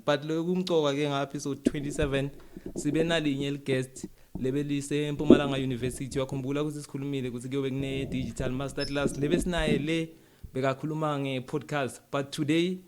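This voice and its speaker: A man's voice: medium pitch, little pitch movement, fast, a little mellow. South African man hosting a podcast. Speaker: podcast interview host. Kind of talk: casual interview, code-switching.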